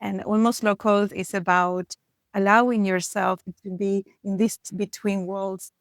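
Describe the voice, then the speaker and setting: A woman's voice: medium pitch, little pitch movement, measured pace, no room sound, clean studio sound. A middle-aged woman with an Argentine accent, a native Spanish speaker, giving reflective answers as a podcast guest.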